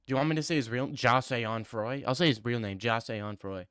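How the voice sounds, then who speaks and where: A man's voice: mid-range voice, a little fast, a bit bright, smooth, no room sound, clean studio sound. Joking young man on stream. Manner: joking, teasing banter with mock bravado. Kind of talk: casual live-stream chat answering viewer comments.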